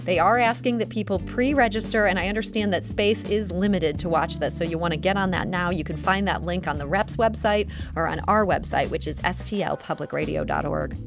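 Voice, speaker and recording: A woman's voice: lowish voice, a little fast, gravelly, hardly any pauses, over music. A woman with an American accent hosting a public radio interview. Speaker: radio show host.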